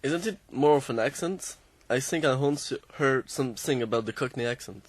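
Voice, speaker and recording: A man's voice: medium pitch, normal speed, dry recording. A man with French as his first language, co-presenting a student radio programme and asking scripted questions.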